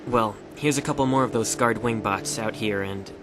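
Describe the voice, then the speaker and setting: A man's voice: on the low side, moderate pace, natural voice. A man playing a heroic hedgehog in an audio drama, casual, alert and clipped. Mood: alert, guarded. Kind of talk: fan audio drama acting. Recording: clearly noisy background ambience.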